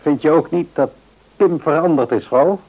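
An actor playing a mild, patient middle-aged father in a radio serial, speaking in a measured, good-humoured way. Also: fairly high pitch, darkish tone, lightly acted, rough recording. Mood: reflective. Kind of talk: Dutch radio serial acting.

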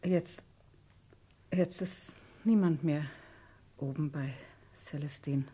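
A woman playing a sharp-tongued, scheming village woman in a radio play, husky, defiant and scornful. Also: sits low, takes it slowly, heavily acted. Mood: guarded.

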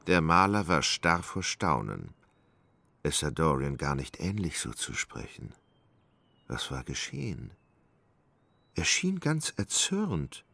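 A man's voice: rumbling low, huge pitch swings, slow pace, gravelly, long pauses, bright, forceful tone, exaggerated voice. A man narrating a literary radio drama as a storyteller, in a dramatic, suspenseful way. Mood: grave. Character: dramatic storyteller of a Gothic tale. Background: music.

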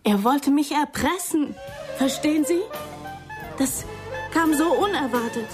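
An actress playing a defensive, prim young woman in a radio crime drama, speaking in a breathy, dramatic, indignant manner. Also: high voice, measured pace, music in the background. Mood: agitated, pleading. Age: young adult.

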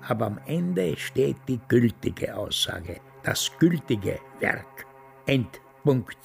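An actor playing a stubborn, self-important very old man in a radio drama, with a husky voice and a rambling, repetitive way of talking. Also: medium pitch, sing-song, slow pace, soft background music, full character voice. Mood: self-satisfied. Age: older. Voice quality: husky, gravelly. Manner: pompous, rambling, repeats phrases.

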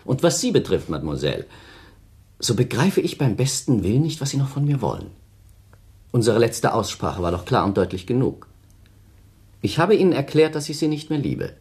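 A man's voice: medium pitch, very animated, moderate pace, darkish tone, slightly rough, heavily acted. An actor playing a callous, self-pitying man of thirty in a German radio play, haughty, theatrical and dismissive. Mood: haughty, irritated. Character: cold, self-pitying thirty-year-old man, callous scornful former lover.

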